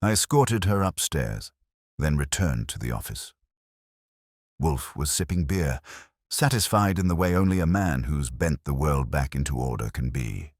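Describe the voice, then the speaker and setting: A male narrator tells a detective story in a very low voice. His pitch moves expressively through the sentences, and the sound is a little husky and closely dry. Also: animated, normal speed, a little mellow, no echo. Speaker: audiobook narrator.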